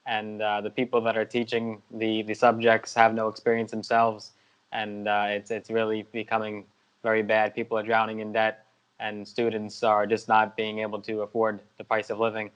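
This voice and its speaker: A man's voice: on the low side, monotone, moderate pace, clean tone, poor audio. A young man from the States, a guest answering interview questions steadily, with many "uh" and "you know" fillers. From United States. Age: young adult.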